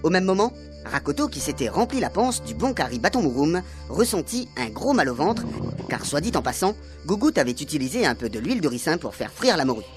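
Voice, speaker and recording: A man's voice: a little high, very animated, brisk. Male narrator, mock-dramatic serial storytelling, light music bed. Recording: music under the voice.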